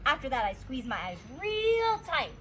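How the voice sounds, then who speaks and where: A woman reads a children's story aloud like a teacher. Her fairly high voice is very animated and deliberate. There is some noise in the background. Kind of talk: expressive children's storybook narration.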